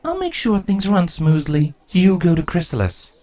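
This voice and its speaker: A man's voice: high-pitched, big pitch swings, normal speed, warm tone, no room sound, voice pushed up. A man voicing a firm, formal and caring female changeling queen in a fantasy storybook. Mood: firm, composed.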